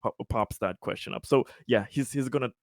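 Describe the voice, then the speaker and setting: A man playing a tabletop roleplaying game, talking casually and haltingly, often repeating his words. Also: mid-range voice, no room sound, clean studio sound. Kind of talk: casual in-character and out-of-character game talk.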